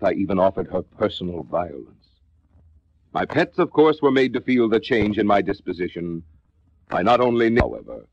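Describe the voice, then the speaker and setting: An actor playing a brooding male narrator confessing a Gothic horror tale, in a theatrical, deliberate 1950s dramatic reading with formal diction, on a vintage record. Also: low-pitched, slightly rough, no room sound, heavily acted. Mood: brooding, ashamed. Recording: dull vintage 1950s spoken-word record.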